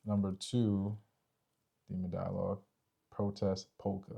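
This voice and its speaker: Male co-host, dark mellow tone with vocal fry, informal conversational delivery. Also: deep voice, monotone, a little slow, lo-fi sound. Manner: informal, checks in with "right?" and "you know?". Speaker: co-host of a relationship podcast. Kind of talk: casual conversational explaining.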